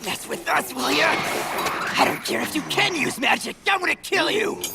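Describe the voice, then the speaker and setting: A man playing a hot-headed street thug in an anime dub, threatening in a strained, gravelly shout. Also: very high-pitched, normal speed, loud music behind the voice. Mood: menacing. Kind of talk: English anime dub acting.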